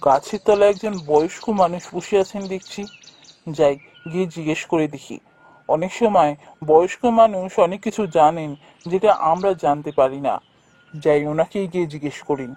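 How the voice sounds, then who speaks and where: Man acting high-voiced earnest young prince. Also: normal speed, darkish tone, natural voice. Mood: pondering. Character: earnest, polite young prince posing as villager. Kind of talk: animated fairy tale voice acting.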